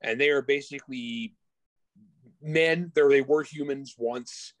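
A man's voice: mid-range voice, measured pace, no room sound. A man speaking as a guest author in a casual interview, informal and slangy, often restarting his sentences. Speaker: guest author discussing own book.

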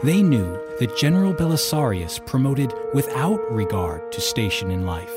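A man's voice: mid-range voice, very animated, normal speed, close to an everyday voice. A man narrating a historical war tale for an audio drama in a grave, wry storytelling manner. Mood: measured, wry. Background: music under the narration.

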